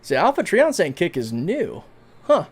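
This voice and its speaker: A man's voice: high voice, huge pitch swings, a little slow, slightly rough. A man in his thirties chatting casually on a game livestream, reading out and answering chat comments as he plays. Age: 30s. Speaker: streamer.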